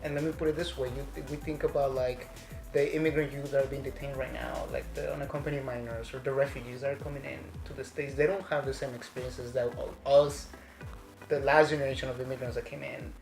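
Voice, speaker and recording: A man's voice: mid-range voice, level tone, quick pace, creaky, darkish tone. A man from Mexico, talking passionately in a casual conversation, often asking "right?" to press his points. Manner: passionate, emphatic, says "right?" and "girl". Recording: echoey room with music behind.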